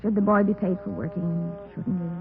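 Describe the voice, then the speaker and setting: An actress playing a nosy, chatty female neighbour with a raspy, creaky voice in an old radio drama. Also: fairly low pitch, fairly even intonation, fairly quick, over music, natural voice. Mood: gossipy, indignant. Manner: gossipy, rambling, exclamatory. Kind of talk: 1950s radio drama acting. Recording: tinny old-time radio recording.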